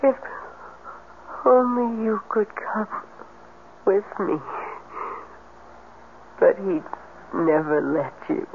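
An actress playing a mysterious, weak and alluring dying swamp woman in a 1970s radio mystery, with slow, breathy, drawn-out delivery. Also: medium pitch, expressive. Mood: tender, weary. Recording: tinny, noisy 1970s radio recording.

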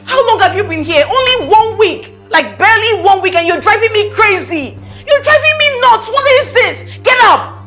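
A woman's voice: high-pitched, very animated, normal speed, pushed and bright, roomy sound, faint music behind, heavily acted. An actress with a Nigerian accent playing a spoiled, short-tempered young madam in a screen drama, haughty and exasperated as she scolds. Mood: furious. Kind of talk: melodramatic screen drama acting.

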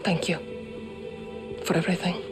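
A woman's voice: moderate pace, over music, close to an everyday voice. A woman playing a resolute, proud rebel commander in a video game, terse and intense. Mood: restrained.

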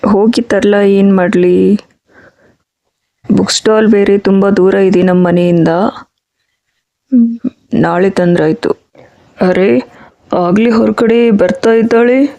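Woman playing a patient cartoon dad, mellow voice. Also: mid-range voice, steady tone, slow, vocal fry, long pauses, low-quality recording. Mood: musing. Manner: gentle, explanatory, coaxing. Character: patient, soothing father of a young schoolgirl. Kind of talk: children's cartoon story acting.

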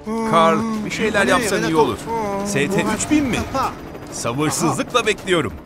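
A man's voice: high voice, lively intonation, measured pace. A man voicing a cheerful, encouraging helper in a dubbed children's cartoon, asking questions and praising the vehicles. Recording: studio voice under loud music.

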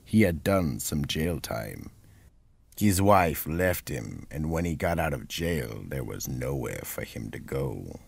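A man with a deep gravelly voice reading scripted narration for a radio mystery drama. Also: very animated, slow pace, darkish tone, no echo.

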